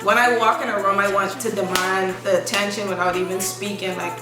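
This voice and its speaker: Soft-voiced young woman, casual interview guest, recorded off-mic in a reverberant room with music playing. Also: medium pitch, brisk. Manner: soft-spoken, relaxed, loose rambling phrasing. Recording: echoey room from a distance, music behind.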